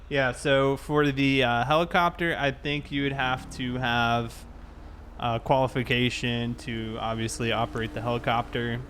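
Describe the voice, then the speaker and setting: An easygoing man talks casually to viewers as he streams a police roleplay game. His pitch sits in the middle range, and faint game vehicle noise runs behind his voice. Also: fairly bright tone. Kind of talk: casual livestream chat while playing police roleplay.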